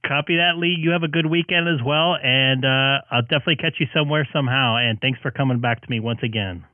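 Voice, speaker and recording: A man's voice: mid-range voice, fast, no echo, narrow, tinny audio. A man hosting a ham radio live stream, talking casually and a bit tired, with lots of fillers. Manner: tired, loose, chatty with many fillers.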